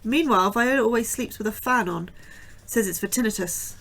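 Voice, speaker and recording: A woman playing an anxious young female astrobiologist, narrating her diary with wry, deadpan understatement. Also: fairly high pitch, fairly quick. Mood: dry.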